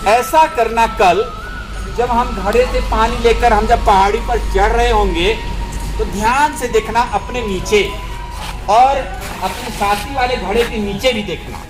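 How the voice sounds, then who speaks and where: A man as a high-voiced, kindly wise farmer. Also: fairly even intonation, measured pace, over music, low-quality recording. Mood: concerned. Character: kind, observant farmer, wise owner of the pots.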